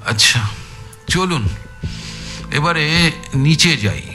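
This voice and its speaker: An actor playing a shrewd, courteous male investigator in an audio story, questioning in a measured, probing way with thoughtful pauses. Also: a little high, animated, pushed and bright, faint music behind, heavily acted. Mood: probing, casual. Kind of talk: audio story dramatised dialogue.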